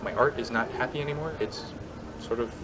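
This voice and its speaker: Man talking hesitantly over loud background noise. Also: mid-range voice, a little fast. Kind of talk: casual monologue.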